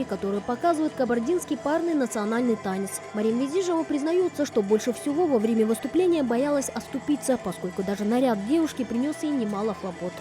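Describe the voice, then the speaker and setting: A woman reporter reading a scripted news voice-over in a brisk, even manner. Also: sits high. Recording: voice-over over loud music.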